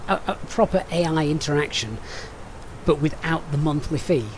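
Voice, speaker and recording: A man with a Sheffield accent talks through a flight plan step by step, then chats easily with viewers. His voice sits a little higher than most men's. Faint noise hums under the recording. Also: moderate pace, poor audio. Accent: Sheffield English. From Sheffield, England. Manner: methodical, chatty, reads out viewer comments. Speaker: flight sim streamer. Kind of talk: live-stream briefing and chat.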